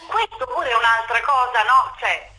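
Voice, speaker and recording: A woman's voice: a little high, normal speed, pushed and bright, low-quality recording. A talkative woman giving a spontaneous interview over the telephone, emphatic and rambling, tagging her points with little questions. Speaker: theatre director, interview guest.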